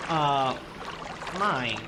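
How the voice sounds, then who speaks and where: A man's voice: mid-range voice, lively intonation, drawn-out words. A man playing a belligerent, suspicious armed countryman in a radio play, with folksy, ungrammatical talk. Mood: wary, evasive. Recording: loud water noise behind the voice. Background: loud lapping water outdoor ambience.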